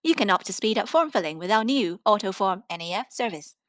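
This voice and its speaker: A woman reads prepared literary prose aloud in a mid-pitched voice. The sound is dry and close, with hardly any room in it. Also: animated, brisk, a bit bright, no room sound. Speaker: reader of poetry and prose on a podcast. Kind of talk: scripted literary reading.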